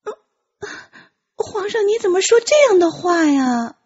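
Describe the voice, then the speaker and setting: A woman's voice: extremely high pitch, big pitch swings, a little slow, a little mellow, lo-fi sound, exaggerated voice. A woman playing a timid, flustered young palace eunuch in an audiobook, with a meek, stammering delivery. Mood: frightened pleading. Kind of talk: audiobook character acting.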